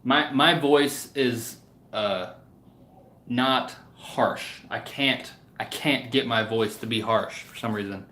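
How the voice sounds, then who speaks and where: A man's voice: mid-range voice, slow pace, sharp tone, a little hiss or hum. A man chatting casually to viewers as a livestream host, in a hesitant, rambling way that often trails off. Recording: roomy, echoing room sound.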